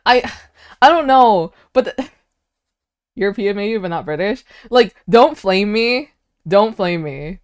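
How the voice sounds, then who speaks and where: A woman's voice: medium pitch, lively intonation, takes it slowly, long pauses, clean tone, no room sound, studio quality. Giggly young American woman streamer. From United States. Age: young adult. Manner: chatty, giggly, hesitant restarts. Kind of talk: casual chat with viewers.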